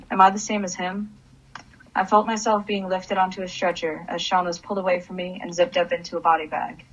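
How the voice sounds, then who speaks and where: A woman's voice: fairly low pitch, close to an everyday voice. Woman playing menacing vengeful mother, dull lo-fi sound. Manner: intense, commanding. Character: grief-crazed vengeful mother with a gun, menacing. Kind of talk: dramatic radio play acting with narration.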